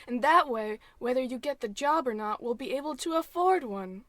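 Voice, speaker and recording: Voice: sits high, expressive, normal speed, sharp tone, no room sound. An actor playing a sweet, easily frightened housewife, a woman in an exaggerated old-time radio comedy. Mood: cheerful, hopeful.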